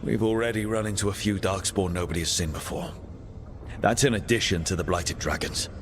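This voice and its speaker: Casting: a man for an earnest, skeptical game hero; plain-spoken, mid-range voice, echoing hall with rumbling noise. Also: fairly quick, close to an everyday voice. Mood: serious, wary. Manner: plain-spoken, dry, challenging. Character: earnest, defiant young male hero, skeptical and blunt. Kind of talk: dramatic fantasy video game dialogue.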